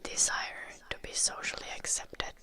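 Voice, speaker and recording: A woman from Finland hosting a live ASMR stream, whispering softly to her chat in English with a light Finnish tinge, close to the microphone. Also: moderate pace. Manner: soft whisper, reading chat names. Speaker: ASMR livestream host.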